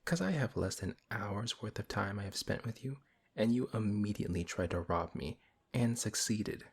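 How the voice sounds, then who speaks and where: A man playing a big, principled paladin in a fantasy audio roleplay, speaking in a stern, measured and dryly skeptical way. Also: on the low side, animated, vocal fry, slightly rough, full character voice. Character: big strong male paladin, principled, wary, reluctantly helpful. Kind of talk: ASMR audio roleplay acting.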